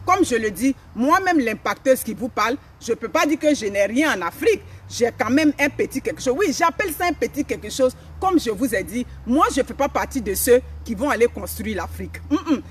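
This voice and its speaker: A woman's voice: on the high side, lively intonation, a little fast, hardly any pauses, a little hiss or hum. A middle-aged woman from Africa, hosting a motivational live stream outdoors in a forest, speaking emphatically and repeating her phrases. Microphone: phone camera at a few steps.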